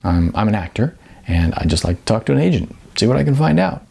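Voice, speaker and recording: A man's voice: lowish voice, big pitch swings, gravelly, warm tone, husky, heavily acted. A man voicing a naive, eager young aspiring actor in an audiobook, speaking hesitantly. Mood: hesitant, hopeful. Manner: hesitant, with stammers and pauses. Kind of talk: audiobook character dialogue.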